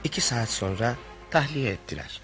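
A man's voice: medium pitch, animated, normal speed, loud music behind the voice, close to an everyday voice. A man playing a wry male narrator recounting his friend's misadventure in a radio play, speaking formally. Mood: wry narrating. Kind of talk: radio theatre storytelling.

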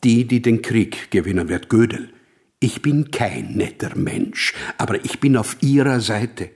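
An actor playing a brilliant, self-important, melancholic mathematician in a radio drama, with a husky voice and a pompous, wry, deliberate manner. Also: male voice, medium pitch, big pitch swings, a little mellow, heavily acted. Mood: wry, confiding.

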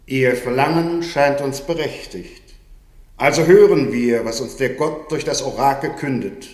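An actor playing a formal, impartial judge in a classical radio play, with projected delivery. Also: male voice, mid-range voice, measured pace, a little mellow, lightly acted. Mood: official. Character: formal, impartial male judge at a royal tribunal. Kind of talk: classical German radio play stage acting. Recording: echoey room.

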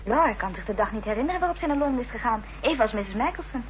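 An actress playing an anxious, protective Victorian gentlewoman with urgent, concerned delivery in a radio drama. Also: on the high side, expressive, rapid-fire, natural voice. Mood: worried, urgent. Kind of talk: period radio drama acting. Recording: dull, noisy, humming old radio recording.

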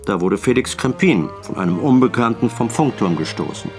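An actor playing a senior police detective in a radio play, questioning with dry, formal politeness. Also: male voice, mid-range voice, lively intonation, fairly quick, faint music behind, close to an everyday voice. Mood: pressing, dry. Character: senior police detective, probing, dryly sarcastic interrogator.

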